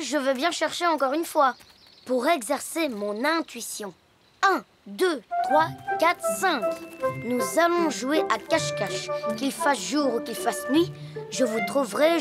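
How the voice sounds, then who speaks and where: An actor voicing a young boy hippo in a children's cartoon, with an earnest, childlike and deliberate way of talking. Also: sits high. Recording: music bed behind the voice.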